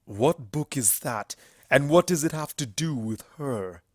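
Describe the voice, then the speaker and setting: A narrator voicing an angry, deluded male alicorn stallion in a story reading, shouting and incredulous. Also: mid-range voice, animated, a little slow, dry recording, clean studio sound. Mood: confused anger.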